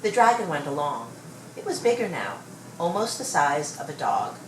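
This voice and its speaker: Female storybook reader, low register, expressive measured delivery, roomy sound with faint background noise. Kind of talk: read-aloud children's story narration.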